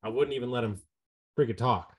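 A man's voice: mid-range voice, brisk. A man hosting an interview and speaking emphatically as a coach. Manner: energetic, emphatic, says "like" often. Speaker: podcast host and coach. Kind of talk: casual interview chat.

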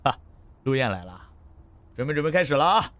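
Brash film director, medium pitch. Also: male voice, big pitch swings, brisk, a bit bright, dry recording. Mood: bossy, sarcastic. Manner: blunt, colloquial banter. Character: brash, joking, bossy male film director. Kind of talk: Chinese audio drama acting.

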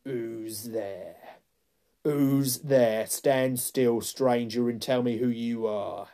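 A man's voice: medium pitch, steady tone, warm tone. A man voicing a huge, menacing grey wolf in a storybook, growling and commanding. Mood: challenging. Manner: slow, commanding, threatening. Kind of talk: storybook character voice.

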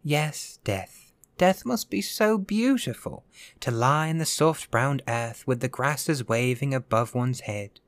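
A man voicing a centuries-old ghost in a storybook reading, lonely and sad, with a soft, dreamy, old-fashioned delivery. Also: medium pitch, dry recording. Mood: longing, hushed.

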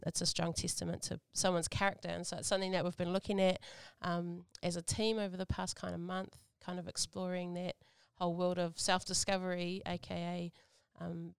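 A New Zealand woman hosts a relaxed chat, her slightly low voice gravelly yet very clear. The sound is dry and close. She talks casually, with fillers and Māori phrases. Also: no echo. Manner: relaxed, friendly, lots of fillers and te reo Māori greetings. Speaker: host.

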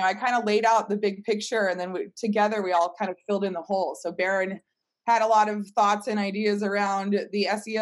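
Woman founder telling a story casually. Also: medium pitch. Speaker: startup founder being interviewed.

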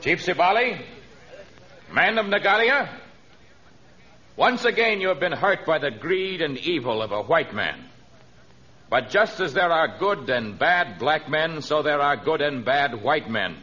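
An actor playing a noble, commanding lord of the jungle in formal, declamatory 1930s radio adventure style. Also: male voice, on the high side, lively intonation, a little slow, pushed and bright, slightly rough, full character voice. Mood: commanding oratory. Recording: dull old radio transcription.

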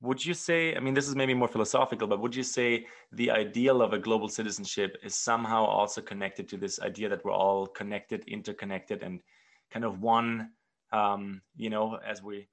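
A man's voice: on the low side, steady tone, moderate pace. A man hosting an interview show, asking reflective questions and repeating words as he thinks. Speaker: podcast host. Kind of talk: conversational interview.

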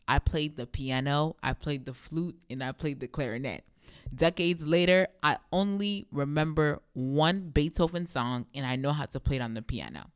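A young woman with a deep voice hosting a devotional podcast, speaking emphatically and repeating phrases for effect. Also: fairly bright tone, smooth, no echo, clean studio sound. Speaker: podcast host.

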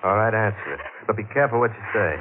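A man's voice: on the low side, lively intonation, very fast, natural voice. A man playing a menacing escaped killer in an old radio crime drama, curt, commanding and sardonic. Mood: wary, commanding. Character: menacing escaped killer, adult man, cunning, holding a housewife hostage. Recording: tinny old radio recording with hiss.

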